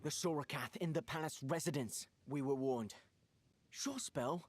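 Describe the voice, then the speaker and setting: An actor playing a wary, suspicious adventurer in a video game cutscene, questioning in a measured way. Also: male voice, fairly high pitch, no echo, soft background music, lightly acted. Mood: deliberating.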